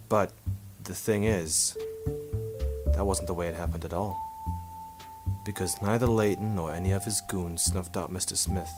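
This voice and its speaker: A man playing a coolly proud 1950s hitman in a noir radio drama, confiding in a deliberate, wry way. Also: deep voice, lightly acted. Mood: wry, reflective. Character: coolly proud 1950s hitman photographer narrating his confession.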